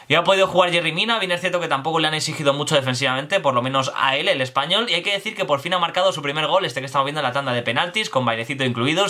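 A young man, talking to camera from his bedroom about football news in a chatty, energetic way. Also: on the high side. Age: young adult. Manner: fast, energetic and chatty, addressing viewers directly. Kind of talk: casual news commentary to camera. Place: bedroom with posters.

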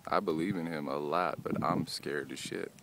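A man's voice: rumbling low, moderate pace, fairly bright tone. A young man narrating a friend's launch on a mountain slope, joking, swearing and laughing, recorded on a phone outdoors. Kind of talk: excited casual narration. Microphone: phone camera held by speaker. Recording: outdoor phone video with faint wind noise.

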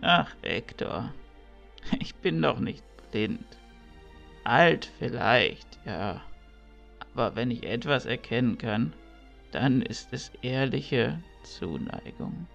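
A man plays a kindly old mentor in a fantasy audio drama. His voice is heavily gravelled and he takes his time, wise and gently teasing. The recording is slightly dull, with faint music underneath. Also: mid-range voice, animated, slow, exaggerated voice. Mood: knowing, gentle. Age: older.

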